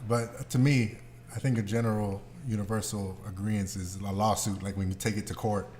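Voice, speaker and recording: A man's voice: lowish voice, fairly even intonation, moderate pace, a little mellow, a little hiss or hum. A man hosting a podcast, chatting informally and bluntly and putting questions to the others. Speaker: podcast host. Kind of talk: casual chat.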